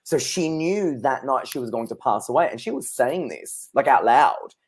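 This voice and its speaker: A man's voice: mid-range voice, expressive, normal speed, husky. A man in his thirties, a psychic guest on an interview show, telling personal stories in a chatty, anecdotal way and laughing easily. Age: 30s. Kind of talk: casual interview chat.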